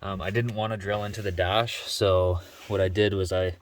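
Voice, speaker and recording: A man's voice: deep voice, steady tone, normal speed, a little hiss or hum. A man hosting a casual how-to vlog, talking informally and thinking aloud as he explains. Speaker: vlog host showing a DIY truck install.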